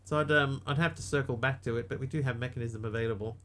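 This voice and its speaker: A man's voice: medium pitch, quick pace, fairly bright tone. A man with a New Zealand accent chatting casually to viewers while he plays a game live, with dry, self-mocking asides. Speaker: streamer. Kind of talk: casual live-stream commentary while gaming.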